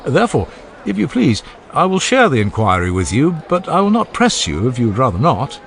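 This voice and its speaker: A man playing an older philosopher who questions patiently and courteously in formal, measured phrases. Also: mid-range voice, huge pitch swings, gravelly, slight background noise, pitched above the performer's own voice. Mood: patient, inviting. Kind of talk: dramatized philosophical dialogue.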